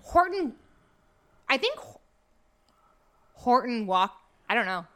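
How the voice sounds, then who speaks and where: A woman's voice: a little high, sing-song, slow, fairly bright tone. An American woman chatting casually as a podcast guest, rambling, emphatic and a little self-deprecating. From St. Louis area, United States. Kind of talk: casual chat.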